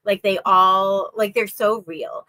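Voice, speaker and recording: A woman's voice: takes it slowly, dry recording. A woman co-hosting a reading stream in casual chat, talking chattily with lots of fillers and a slightly stuffy nose. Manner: chatty, lots of "like" and "um", lively rises in pitch. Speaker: co-host of a reading sprint stream.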